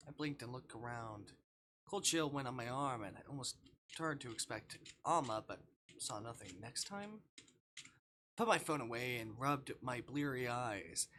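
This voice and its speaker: A man's voice: mid-range voice, pushed and bright, slight background noise. A man live-streaming a game, reading a visual novel aloud dramatically with playful asides.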